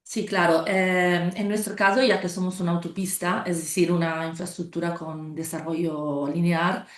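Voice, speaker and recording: Italian woman panelist, halting second-language delivery, roomy echoing call audio. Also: lowish voice, flat delivery. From Italy. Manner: hesitant, many fillers and restarts. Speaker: webinar panelist. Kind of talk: online webinar presentation. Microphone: video call.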